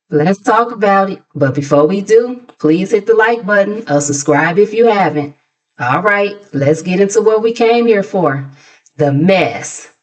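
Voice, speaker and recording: A woman's voice: mid-range voice, expressive, unhurried, poor audio. An American woman hosting a gossip recap, chatting casually in a sassy, conversational way with mocking asides. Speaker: host of a gossip commentary channel.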